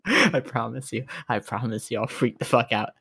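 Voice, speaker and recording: A man's voice: mid-range voice, lively intonation, normal speed, creaky, pushed and bright, husky. A man with a mid-range voice banters through a tabletop roleplay. He is casual and slangy, often repeating phrases for emphasis.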